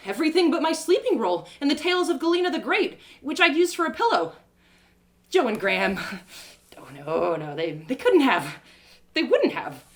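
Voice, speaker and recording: Casting: woman performer as naive young heroine of an audio drama, stranded and betrayed, plucky, melodramatic, very animated delivery. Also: high-pitched, moderate pace, pitched above the performer's own voice. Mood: hurt disbelief. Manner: dramatic, exclaiming, stammering in distress. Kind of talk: first-person audio drama storytelling.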